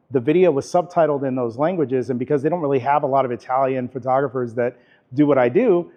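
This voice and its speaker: A man teaching a live workshop class in a camera store, talking in a relaxed, chatty way with lots of fillers. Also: mid-range voice. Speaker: workshop instructor. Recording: dull lo-fi recording.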